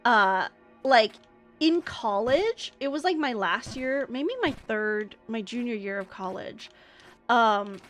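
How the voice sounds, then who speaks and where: A woman's voice: fairly high pitch, fairly bright tone. A young American woman streamer telling a story in a casual, rambling way, with many fillers and restarts. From United States. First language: English.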